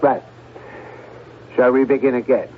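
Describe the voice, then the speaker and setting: An actor playing a skeptical psychiatrist in a radio drama, questioning in a pointed, measured manner. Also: male voice, mid-range voice, little pitch movement, a little hiss or hum, lightly acted. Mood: skeptical, firm. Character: probing, skeptical male psychiatrist. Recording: muffled old radio broadcast.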